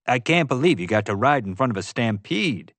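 A man performing an excitable, carefree adventurer in a storybook. He is chatty and casual, with very animated intonation, recorded dry and close. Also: medium pitch, normal speed, dry recording. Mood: thrilled. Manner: gushing, talkative, casual. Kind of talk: audiobook character voice.

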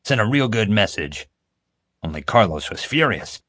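Man acting blubbering, gravelly-voiced cowardly crook. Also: sing-song, normal speed, fairly bright tone, no room sound, studio quality. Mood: boastful. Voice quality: deep, gravelly. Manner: wailing, desperate pleading. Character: James, blubbering male criminal, cowardly, pleading, boastful.

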